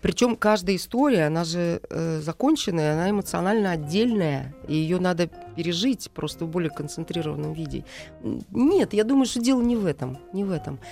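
A middle-aged woman giving thoughtful, halting interview answers as a guest, with emphatic phrases. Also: on the low side, lively intonation, creaky, darkish tone, faint music behind. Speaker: guest, animation film director.

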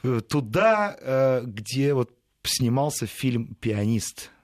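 A man in an interview recalls his past at an easy conversational pace, wandering off on side tracks and correcting himself mid-sentence. His voice sits at an ordinary male pitch. It is recorded close and dry, with no room sound around it. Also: big pitch swings, slow pace, no room sound. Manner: rambling, digressive storytelling with frequent self-corrections. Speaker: journalist guest.

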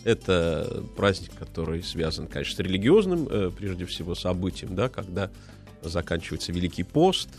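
Casting: male radio host, low voice that swings widely in pitch, rambling delivery, faint music under him. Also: moderate pace. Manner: lively, rambling with fillers and restarts. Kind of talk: casual radio interview.

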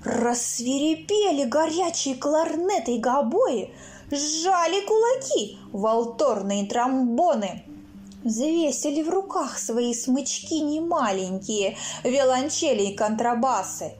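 A woman reading her own children's stories aloud in an expressive, theatrical way, acting out sounds and characters. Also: high-pitched, slow pace. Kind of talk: expressive children's storybook narration. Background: music.

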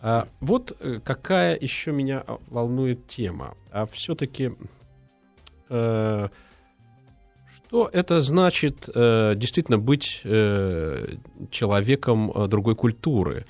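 A man co-hosting a live radio talk show, speaking thoughtfully and pausing often with fillers. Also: mid-range voice, lively intonation, a little mellow, dry recording, soft background music. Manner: thoughtful, hesitant with many fillers. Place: radio studio. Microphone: studio microphone.

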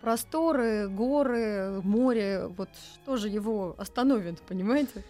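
A woman's voice: medium pitch, a little slow, dark tone, faint music behind. A woman speaking as a wine expert guest on a radio show, explaining patiently with some fillers.

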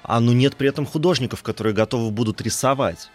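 A man speaking as an expert guest in an informal radio interview, haltingly, repeating words and hedging as he thinks aloud. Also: mid-range voice, faint music behind. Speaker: guest film critic and animation historian.

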